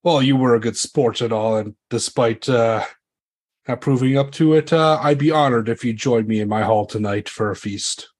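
A man's voice: medium pitch, normal speed, a little mellow, natural voice. A man voicing a proud, honor-bound knight in a tabletop game, with courtly, old-fashioned phrasing. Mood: gracious.

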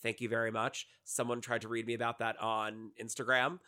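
A man's voice: mid-range voice, little pitch movement, a little fast, pushed and bright. A congested man co-hosting a casual podcast, chatting away in a slightly defensive way. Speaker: podcast co-host.

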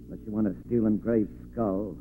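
A man's voice: on the low side, fairly even intonation, fairly quick. A man acting a menacing, taunting escaped gangster in a 1940s radio drama, with tough, mocking, slangy talk. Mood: suspicious. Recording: tinny, narrow-band 1940s radio recording.

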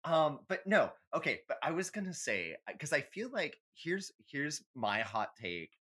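A man's voice: on the high side, very animated, measured pace, a bit bright, husky. A man co-hosting a podcast, chatting casually and comically in a sassy, opinionated way with plenty of swearing. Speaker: podcast co-host. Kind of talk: casual comedic astrology chat.

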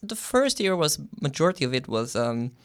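A young man from Slovenia, a guest on an interview show, answering in a thoughtful way with many pauses and restarts. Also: fairly high pitch, big pitch swings, clean tone. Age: young adult. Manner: thoughtful, with frequent ums and restarts. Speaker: guest, exchange student.

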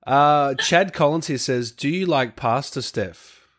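An easygoing man hosting a casual interview, asking loose follow-up questions and passing along viewer questions. His voice sits at an ordinary, middle pitch for a man. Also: a little slow, a bit bright. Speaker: interview host.

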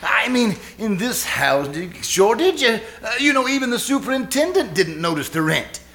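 A man performs a pompous, gushing stage actor in old-time radio drama. His voice is high and gravelly, swooping widely as he hams it up with vain self-importance. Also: big pitch swings, normal speed, a bit bright, full character voice. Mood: self-satisfied, curious. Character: pompous, effusive, vain theatre star Ronald. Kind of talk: live stage re-creation of 1940s radio suspense.

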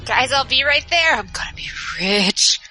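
Woman as a high, bright, greedy scavenger. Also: expressive, moderate pace, faint music behind, exaggerated voice. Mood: giddy greed. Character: giddy, greedy female scavenger mercenary. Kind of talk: action audio drama acting.

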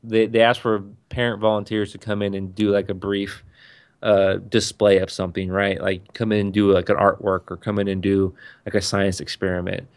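A man with a fairly low voice talks in a loose, casual chat. He rambles and restarts his sentences often as he tells a story. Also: little pitch movement, moderate pace, smooth.

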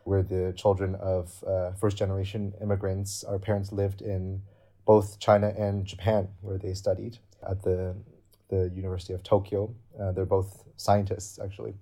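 Deep-voiced man, flat and mellow. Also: normal speed. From Canada. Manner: earnest, explanatory, thinks aloud. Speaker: guest cellist. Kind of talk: interview answers.

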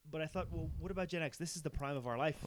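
Man around forty, podcast co-host, quick speech, rambling, frequent restarts. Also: a little high, a crowd in the background. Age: 40s. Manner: rambling, restarts often, laughs between thoughts. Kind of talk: casual chat.

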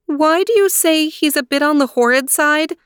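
A woman voicing an earnest, headstrong sixteen-year-old princess in a storybook, with an emphatic, expressive delivery. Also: high voice, moderate pace, dry recording, clean studio sound, voice pushed up. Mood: alarmed, upset. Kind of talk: storybook character voice.

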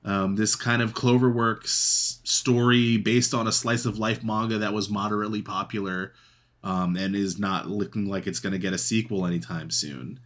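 A man's voice: on the low side, fairly even intonation, a bit bright. Eager, stumbling podcast co-host, male. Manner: enthusiastic, halting with restarts and fillers. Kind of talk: casual chat.

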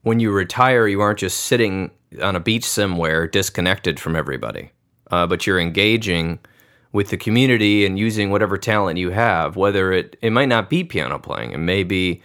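Podcast host, young adult male, very low voice, reflective and unhurried, lots of "you know"s. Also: fairly bright tone. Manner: thoughtful, musing, many fillers. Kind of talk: reflective conversation.

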